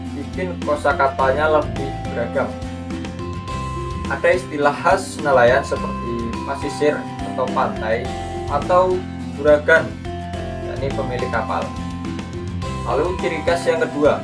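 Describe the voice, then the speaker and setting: Male podcast host, mid-pitched, chatty scripted narration, a bit off-mic, guitar music playing clearly behind. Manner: chatty, addresses listeners directly. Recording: distant mic with music underneath.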